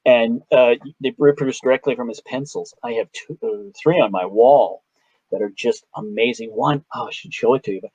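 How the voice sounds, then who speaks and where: A man's voice: mid-range voice, normal speed, a little mellow, slightly rough, no room sound. A man with a Chicago accent wanders through anecdotes, restarting his sentences as he goes. The sound is dry and a little muffled, as over a video call. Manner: rambling, self-interrupting storyteller. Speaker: guest artist. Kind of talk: casual video-call interview.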